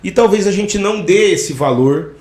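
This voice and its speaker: A man's voice: sits high, expressive, moderate pace, darkish tone, roomy sound. A man hosting a podcast interview, introducing his guest in effusive, inspirational phrasing. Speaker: podcast host.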